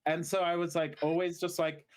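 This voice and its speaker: A man's voice: a little high, level tone, moderate pace. Zimbabwe-raised man, rambling podcast storyteller. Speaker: podcast co-host. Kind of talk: casual chat and storytelling.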